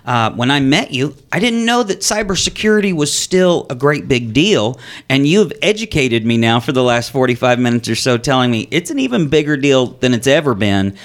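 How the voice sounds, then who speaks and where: A man's voice: mid-range voice, big pitch swings, moderate pace, hardly any pauses. A man hosting a business radio show from a studio, upbeat and enthusiastic as he welcomes and introduces his guest. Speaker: radio host. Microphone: studio microphone.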